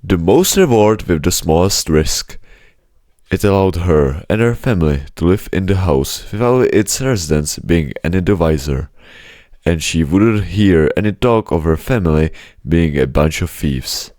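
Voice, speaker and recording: A man's voice: low-pitched, animated, unhurried, a little mellow, dry recording, clean studio sound. A man narrating a scripted children's story as an audiobook-style reader.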